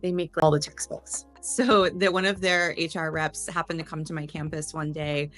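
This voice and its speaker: Guest, woman, 30s, low voice, chatty and animated storyteller. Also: normal speed, faint music behind. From Delaware, US. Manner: chatty, animated, loose restarts. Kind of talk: casual interview storytelling.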